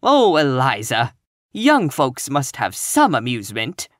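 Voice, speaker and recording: A narrator voicing a plump, timid but cheerful middle-aged woman in a storybook, earnest and gently insistent in her optimism. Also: medium pitch, sing-song, unhurried, dry recording, studio quality. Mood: mildly protesting.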